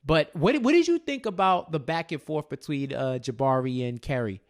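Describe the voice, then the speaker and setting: Male podcast co-host, fairly high voice, slangy and conversational, circles back over phrases and tags points with "right?". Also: expressive, normal speed. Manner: slangy, repeats phrases as thoughts form, checks in with "right?". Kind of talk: casual TV recap chat.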